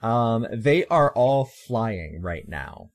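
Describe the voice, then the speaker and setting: A man's voice: mid-range voice, big pitch swings, very slow, no room sound. A man in his late twenties casually talking as game master and host of a tabletop game, with a wry, bantering manner.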